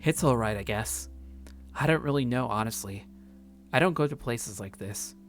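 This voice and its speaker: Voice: mid-range voice, animated, normal speed, faint music behind, natural voice. A performer reading a visual novel and voicing a grumpy, withdrawn young man who grumbles hesitantly. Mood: sullen, unsure. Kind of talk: visual novel character read-aloud.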